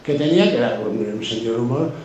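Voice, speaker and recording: Man, accent from Spain, effusive colloquial storyteller, mid-pitched voice, very roomy sound, faint noise. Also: fast, darkish tone. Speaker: guest. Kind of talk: casual anecdotal chat. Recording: very echoey room, distant mic, faint noise.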